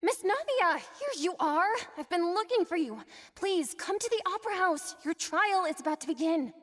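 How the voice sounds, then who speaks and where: An excitable townswoman in a story game, very high and bright. She speaks with eager energy. A long reverb follows her voice. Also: moderate pace.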